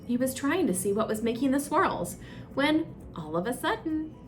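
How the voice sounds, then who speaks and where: A woman's voice: high-pitched, big pitch swings, moderate pace, soft background music. A woman reading a children's storybook aloud as a story time host, expressively, drawing out suspenseful phrases.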